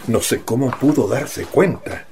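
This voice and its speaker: A man's voice: mid-range voice, a little slow, darkish tone, a little hiss or hum, natural voice. An actor playing a trusting, reasonable middle-aged father in a radio drama. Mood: shocked.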